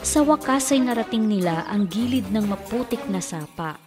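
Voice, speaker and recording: A woman's voice: medium pitch, lively intonation, normal speed, music in the background. A woman narrating a scripted fairy tale for children.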